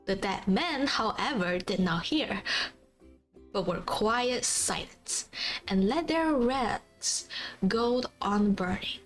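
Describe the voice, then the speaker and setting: A woman livestream host casually reading a fairy tale aloud, halting over old-fashioned words in a giggly, apologetic way. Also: mid-range voice, expressive, low-quality recording. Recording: faint background music. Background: soft background music.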